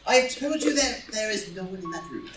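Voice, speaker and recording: A woman's voice: mid-range voice, animated, brisk, lightly acted. A woman playing a witty English lord, a loyal and flustered friend, with theatrical emphasis in a staged play reading. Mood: agitated pleading. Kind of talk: stage play read aloud as audio drama. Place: indoor room, live in-person reading. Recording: roomy live group reading, distant mic, music.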